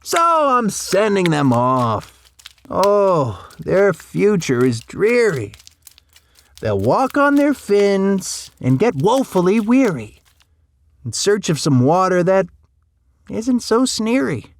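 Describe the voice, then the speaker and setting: Storybook creature: old, male, grumpy and croaky, high strained pitch with wild swoops, querulous scolding. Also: wildly swooping pitch, slow, full character voice. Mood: mournful, indignant. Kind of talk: animated children's storybook read-along.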